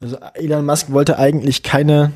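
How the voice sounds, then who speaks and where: Male podcast co-host, husky edge, chatty with ironic quick comebacks. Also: on the high side, level tone, darkish tone. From not from Berlin. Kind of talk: casual news chat.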